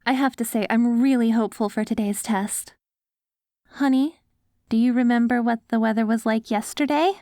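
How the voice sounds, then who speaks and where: Casting: woman to play hopeful, devoted wasteland wife for ASMR drama. Higher-pitched, gently coaxing, very dry sound. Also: fairly even intonation, moderate pace, no echo, lightly acted. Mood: tender, encouraging. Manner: tender, coaxing, speaks directly to a loved one. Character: devoted, hopeful wife caring for her zombie husband after apocalypse. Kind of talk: ASMR audio roleplay.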